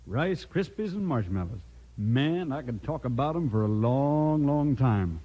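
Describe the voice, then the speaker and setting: A man's voice: medium pitch, lively intonation, unhurried, highs cut off. A man with an American accent doing folksy, enthusiastic sponsor banter in a 1950s radio style. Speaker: radio commercial pitchman.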